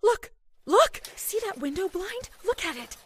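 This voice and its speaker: A woman's voice: very high voice, very animated, a little slow, a little hiss or hum, full character voice. A woman playing a curious, jumpy wife in an old-time radio horror drama, urgent and exclamatory. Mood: frightened. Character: jumpy, curious, insistent wife in a haunted house.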